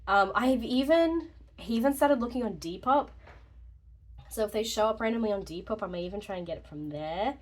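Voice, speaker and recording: A woman's voice: mid-range voice, expressive, a little fast. A young woman with a slightly congested voice, rambling casually through a vlog update, chatty and laughing often. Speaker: journaling YouTuber.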